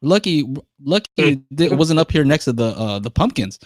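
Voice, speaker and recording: A man's voice: fairly high pitch, sing-song, moderate pace, no echo, clean studio sound. A man hosting a live call-in podcast, chatting casually and laughing easily with callers. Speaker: podcast host.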